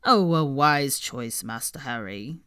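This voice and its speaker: A woman's voice: a bass voice, expressive, slow pace, a bit bright, smooth, no echo, studio quality, voice pushed down, exaggerated voice. A woman voicing a small, dutiful male servant creature who speaks deferentially and refers to himself in the third person. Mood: earnest, dutiful.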